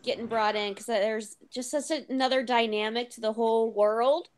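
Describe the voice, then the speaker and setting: A woman's voice: a little high, steady tone, normal speed. A woman talking casually as a member of a book club discussion. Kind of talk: casual chat. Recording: faintly noisy call with clicks.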